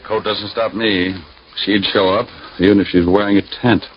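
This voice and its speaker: Male actor as restless flirtatious detective, low voice, American, wry, vintage radio, muffled and noisy recording. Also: fairly even intonation, normal speed, natural voice. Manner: wisecracking, laconic. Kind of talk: 1940s-50s radio detective acting and narration.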